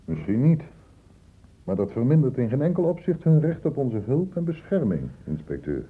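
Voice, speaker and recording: A worried conscientious doctor, grave but really animated voice, tinny old radio play. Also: male voice, medium pitch, moderate pace, husky. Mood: grave, concerned. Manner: grave, emphatic, humane. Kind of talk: radio drama acting. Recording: muffled phone-like old radio recording.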